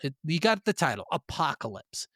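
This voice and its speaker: A man's voice: a little high, very animated, normal speed, a bit bright, no echo. A man hosting a gaming podcast in an informal, profanity-friendly, conversational way, recorded close up. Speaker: podcast host. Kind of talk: casual gaming podcast chat with some scripted narration.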